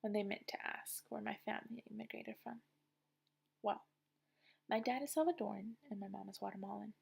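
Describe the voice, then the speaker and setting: A young woman from the United States reading a personal essay aloud in a soft, often half-whispered way. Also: mid-range voice, fairly quick, vocal fry, slightly rough.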